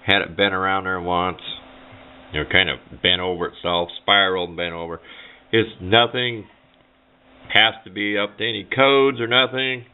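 Low-pitched man, tinny recording. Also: fairly even intonation, moderate pace, smooth. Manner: plain-spoken, rambling, offhand. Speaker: hobbyist presenter. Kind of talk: casual hands-on how-to demonstration. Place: indoors at a workbench. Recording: tinny, band-limited camera microphone sound.

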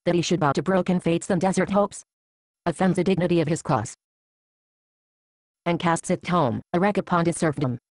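A woman voicing a grandiose, coldly logical robot conqueror in an animated comedy, speaking in a formal, declamatory way. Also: on the low side, moderate pace, long pauses, dry recording, lightly acted, low-quality recording. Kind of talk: scripted animated comedy dialogue.

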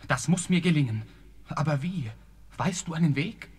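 A brave young prince in a storybook radio play, voiced by a man who keeps it earnest and resolute. His voice sits a little high and has a husky grain to it. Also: expressive, moderate pace. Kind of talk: German fairy-tale radio play.

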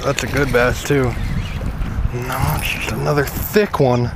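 A young man chats casually through an action camera strapped to his head while fishing a pond outdoors. He talks slowly and loosely, breaking into loud excited outbursts at every catch. The audio is thin and noisy, full of outdoor ambience. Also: mid-range voice. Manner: excitable, exclaims at each catch. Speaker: fishing vlogger. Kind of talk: casual first-person fishing vlog. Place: outdoors at a pond by a large building. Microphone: head-mounted action camera.

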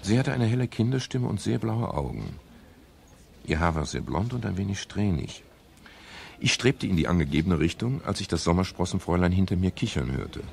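An actor playing a wry, world-weary private detective in a German radio crime drama, speaking in a dry, laconic way. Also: male voice, deep voice, expressive, fairly quick, vocal fry, darkish tone. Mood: dry narration. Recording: faint hiss, 1970s radio recording.

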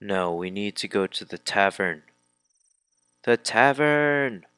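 A man's voice: sits low, flat delivery, normal speed, sharp tone, clear, resonant tone, no room sound. A man giving casual let's play commentary, reading dialogue aloud and muttering informal asides to himself. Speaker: video game let's player.

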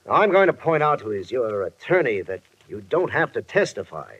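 Muffled vintage radio sound carries an excitable male defense attorney. His husky voice moves animatedly as he looks out for his client, in 1950s radio drama style. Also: medium pitch, moderate pace. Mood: low, cautious. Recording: dull vintage 1950 radio recording.

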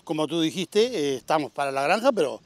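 A man's voice: on the high side, animated, brisk, no room sound. A middle-aged man with a Uruguayan Spanish accent, a machinery dealer promoting his tractors outdoors at a farm fair, with lots of "este" fillers. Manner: upbeat salesman, filler-heavy with frequent "este". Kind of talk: casual outdoor interview.

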